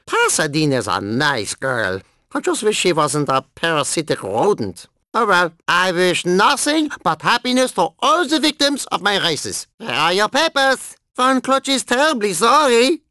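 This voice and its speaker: A deranged old German cyborg hams it up for a video game. The voice is high and sharp, leaping around wildly in pitch. The German accent is thick, and the manner is excitable and gleefully menacing. The recording is close and dry. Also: male voice, no room sound, heavily acted. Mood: musing, whimsical, then gloating, gleeful. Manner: hammy, excitable, gleefully menacing, interjecting "yeah?". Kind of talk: video game character voice clips.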